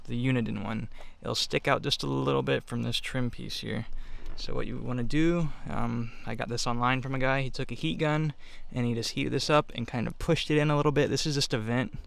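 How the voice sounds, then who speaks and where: A man talking through a hands-on installation in a casual, unscripted way, halting and offhand as he thinks aloud. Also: mid-range voice, steady tone, creaky. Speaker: DIY installer giving a how-to. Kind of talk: casual unscripted tutorial narration.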